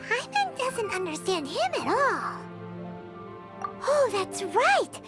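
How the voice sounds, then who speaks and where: Tiny childlike fairy: extremely high, bouncy, blunt, childish, over bright game music. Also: female voice, sing-song, slow, heavily acted. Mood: baffled, indignant. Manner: childish, blunt, refers to self in third person. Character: tiny excitable childlike female fairy companion. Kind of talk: English video game voice acting.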